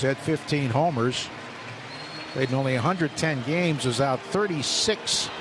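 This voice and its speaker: A man calling live baseball play-by-play on the radio, building up on big plays and reading stats between pitches. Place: stadium broadcast booth. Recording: radio broadcast with loud crowd noise.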